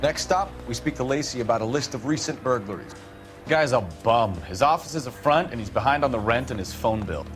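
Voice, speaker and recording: Actor as earnest, clipped police detective, animated pitch. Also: male voice, brisk, faint music behind, lightly acted. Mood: businesslike. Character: earnest, by-the-book 1940s police detective, brisk and proud. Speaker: detective in a video game. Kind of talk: cinematic game dialogue acting.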